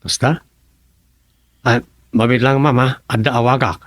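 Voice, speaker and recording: A man's voice: mid-range voice, fairly even intonation, unhurried, no room sound, lightly acted. An actor playing a folksy village councilman in an Ilocano radio comedy drama, with an Ilocano accent. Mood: polite. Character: village councilman, folksy adult man chatting with townsfolk.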